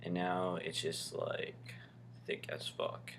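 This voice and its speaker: A man's voice: low voice, level tone, slow pace, a bit bright, a little hiss or hum. A young man talking casually to his camera in a vlog, rambling with lots of ums and likes. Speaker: vlogger.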